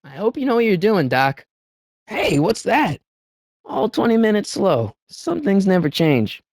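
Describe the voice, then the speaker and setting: An excitable teenage boy hero for an adventure video game. His delivery is lively and swoops up and down, with small hesitant stammers and an incredulous edge. He comes across as good-hearted, recorded dry with no room around him. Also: high voice, measured pace, no echo, exaggerated voice. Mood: musing, curious. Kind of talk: animated video game voice acting.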